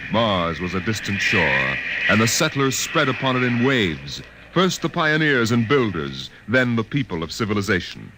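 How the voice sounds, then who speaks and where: A man acting as a solemn, measured American storyteller in 1950s radio science fiction drama. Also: on the low side, background noise. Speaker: narrator.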